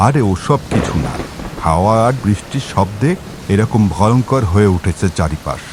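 A man's voice: on the low side, lively intonation, moderate pace, background noise, close to an everyday voice. A man playing a worried family man in a horror cartoon. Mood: reassuring. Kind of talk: Bengali horror cartoon voice acting. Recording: music under the voice. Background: prominent music.

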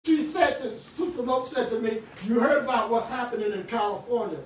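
A middle-aged man preaching a sermon in a small church, swinging from shouts to quiet talk with many restarts. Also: very high-pitched, brisk, a little hiss or hum. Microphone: far camera. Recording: echoey room, far from the camera.

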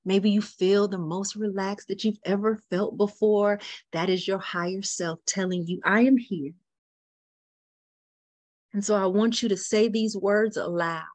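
A woman leading a guided meditation, speaking softly and repeating phrases, often dropping into a whisper. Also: mid-range voice, steady tone, dry recording. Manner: slow soothing guidance with soft whispered repetitions.

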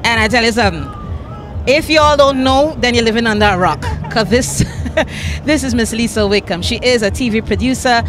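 A woman's voice: a little high, crowd noise. Effusive woman interviewer outdoors. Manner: effusive, halting, restarts phrases. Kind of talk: festival interview. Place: outdoor park festival grounds. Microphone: handheld mic. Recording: music in background outdoors.

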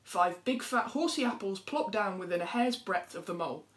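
A young woman reading a children's picture book aloud in an expressive way. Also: mid-range voice, normal speed. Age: young adult. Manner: expressive, acts out dialogue. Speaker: storyteller. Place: plain indoor room at home.

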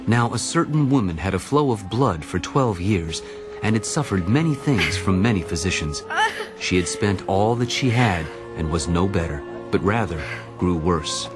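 A man narrating a dramatized audio Bible in a solemn, deliberate storytelling manner. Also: low voice, animated, over music.

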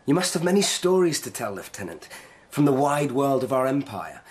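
An actor playing a fanciful, excitable emperor hungry for adventure tales in a radio drama, with a regal manner. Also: male voice, medium pitch, moderate pace, close to an everyday voice. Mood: eager, fanciful.

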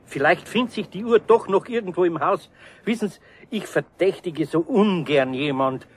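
A man's voice: sits high, moderate pace, slightly rough. An actor with a Bavarian accent playing a kindly older male carpenter who talks hesitantly. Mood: worried. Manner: hesitant, many ums and sighs.

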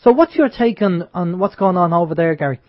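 High-voiced chatty male radio host. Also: animated, brisk, dry recording. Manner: loose, conversational questioning. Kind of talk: casual roundtable chat. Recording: dull online call audio.

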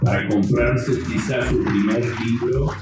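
A man's voice: mid-range voice, steady tone, a little slow. A man, an organizer, promoting a book fair in an emphatic interview soundbite. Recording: very echoey distant hall sound with music.

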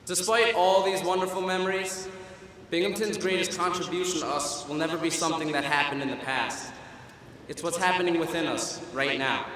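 A young man speaks at a podium microphone in a large auditorium, his bright, somewhat high voice carrying a wry, self-deprecating humor. His words ring out with a heavy, long reverb through a PA system. A faint hum of the hall sits beneath him. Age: young adult. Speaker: student commencement speaker. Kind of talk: humorous graduation speech. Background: audience laughter and hall ambience.

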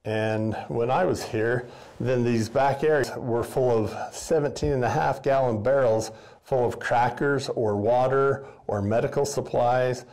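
A man's voice: medium pitch, unhurried, a little mellow, poor audio. An older man, a former custodian, giving a casual guided tour of an old school basement in a rambling, folksy way with many fillers and restarts. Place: basement boiler room of an old school building.

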